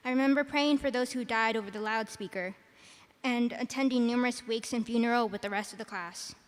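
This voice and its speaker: A soft-spoken teenage girl reads her graduation speech into a podium microphone. Her voice sits high and light. Long reverb from the big arena trails after every phrase. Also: brisk. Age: teens. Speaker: salutatorian giving graduation speech. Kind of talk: prepared commencement speech. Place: large arena.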